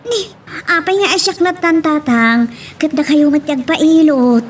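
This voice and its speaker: Woman acting as a young daughter, high voice, caring but nagging, radio-style drama, slight noise. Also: unhurried. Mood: insistent, teasing. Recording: faint background noise.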